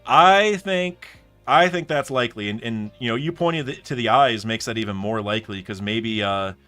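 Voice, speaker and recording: A man's voice: medium pitch, moderate pace, bright, forceful tone, soft background music. A young man chatting casually on a live stream, thinking aloud in rambling sentences full of restarts and fillers. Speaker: streamer reacting to a comic chapter.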